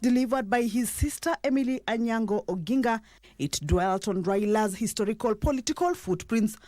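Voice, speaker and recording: High, dry-sounding Kenyan woman reporter. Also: moderate pace, dark tone, no echo. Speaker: news reporter. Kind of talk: scripted news report narration.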